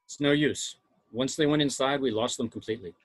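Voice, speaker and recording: A man's voice: mid-range voice, fairly quick, natural voice. A man playing a coolly dismissive spaceship science officer in an amateur table read, matter-of-fact and unemotional.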